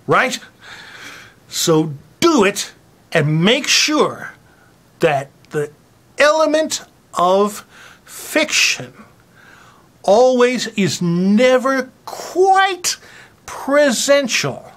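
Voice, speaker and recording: A person giving a mock-dramatic reading with theatrical, exaggerated emphasis and dramatic pauses. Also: mid-range voice, fairly bright tone.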